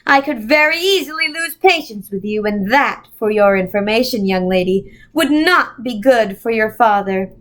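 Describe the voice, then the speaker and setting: A woman voicing a menacing, coolly amused man who speaks by thought in a storybook, slow, deliberate and condescending. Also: a little high, lively intonation, a bit bright, poor audio. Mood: cold menace.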